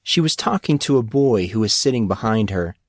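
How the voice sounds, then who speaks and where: A man's voice: lowish voice, expressive, a little fast, dry recording, studio quality. A man reading a story aloud as scripted audiobook narration.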